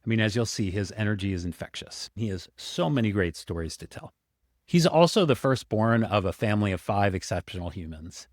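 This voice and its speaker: Enthusiastic low-voiced male podcast host. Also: sing-song, a little fast, dry recording, clean studio sound. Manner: enthusiastic, rambling with many likes. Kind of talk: casual podcast chat.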